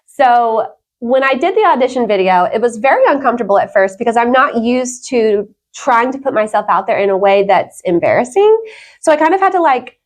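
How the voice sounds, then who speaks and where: Woman guest on a talk show, kinda high voice, earnest and emphatic, just chatting thru her story. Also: lively intonation, fairly quick. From Wales. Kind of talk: conversational interview storytelling.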